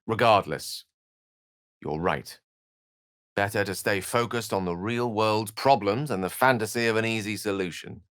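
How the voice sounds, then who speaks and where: A man's voice: on the low side, fairly bright tone, dry recording, clean studio sound. A man voicing an articulate, bookish human wizard in a video game, wry and gallant, with theatrical, wordy delivery. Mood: thoughtful, alert. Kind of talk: video game character acting.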